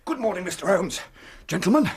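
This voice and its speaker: A man playing an earnest, middle-aged British police inspector in a radio drama, giving grave, halting reports in a husky voice. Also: high-pitched, big pitch swings, full character voice. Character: earnest, shaken veteran male police inspector.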